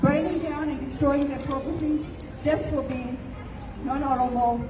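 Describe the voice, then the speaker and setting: Woman rally speaker from Ethiopia, pained and emphatic, high pitch, heard from afar. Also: steady tone, moderate pace, background noise. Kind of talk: impassioned protest speech. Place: outdoor rally. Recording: distant, echoey outdoor recording.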